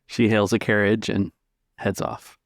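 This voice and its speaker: A man's voice: lowish voice, flat delivery, measured pace, no room sound, studio quality. A man narrating an improvised tabletop game as game master.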